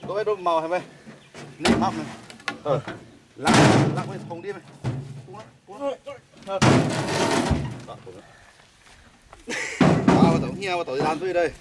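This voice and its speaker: A young man outdoors, loudly shouting work instructions while loading logs, heard from a camera some distance away. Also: sits high, lively intonation, normal speed, pauses often. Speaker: log-loading worker. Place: outdoors on a rural dirt track. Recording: outdoor camera audio with noise.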